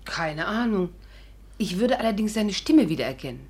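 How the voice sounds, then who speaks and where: Spirited, sharp-tongued young woman adventurer. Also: medium pitch, expressive, moderate pace, husky. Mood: annoyed, wry. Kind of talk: 1950s German radio play acting and narration.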